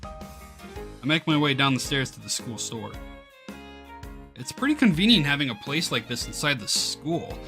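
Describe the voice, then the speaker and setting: Male game streamer, bright forceful voice, reads story text casually with stumbles and asides, light music underneath. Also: medium pitch, sing-song, measured pace, pauses often. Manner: stumbles over lines and rereads them. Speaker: game streamer reading a visual novel aloud. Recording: music playing behind the voice. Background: game background music.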